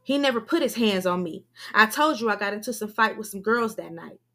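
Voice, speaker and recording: A woman voicing a defiant, sharp-tongued young woman who curses and argues. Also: medium pitch, expressive, fairly quick. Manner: combative, cursing.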